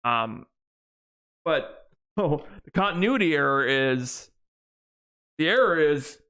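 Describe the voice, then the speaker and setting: A man's voice: on the high side, animated, takes it slowly, sharp tone, clear, resonant tone. A young man co-hosting a podcast, chatting casually and rambling with many restarts and fillers. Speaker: podcast co-host. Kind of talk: casual chat.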